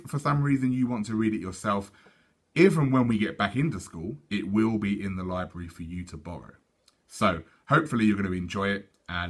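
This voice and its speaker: A British man, a teacher, reading a storybook aloud to children in an animated way. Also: fairly low pitch, husky.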